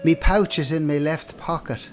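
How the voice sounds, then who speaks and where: A man's voice: fairly high pitch, normal speed, lightly acted. A man playing a wily, cheeky Irish drinker and trickster in a radio play, wheedling in folksy old-fashioned dialect. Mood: smug. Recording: loud music and rain behind.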